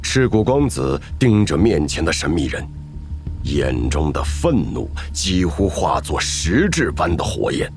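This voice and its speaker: 一名男旁白，以戏剧化的方式讲述动画故事。. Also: male voice, rumbling low, big pitch swings, unhurried, a little mellow, soft background music. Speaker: narrator. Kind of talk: dramatic scripted narration of an animated story.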